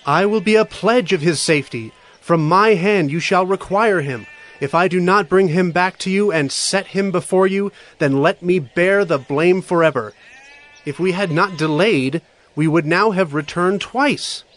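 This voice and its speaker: A man's voice: high voice, a little slow, a bit bright. A man voicing an earnest adult son who pleads with his father and pledges himself. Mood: pleading.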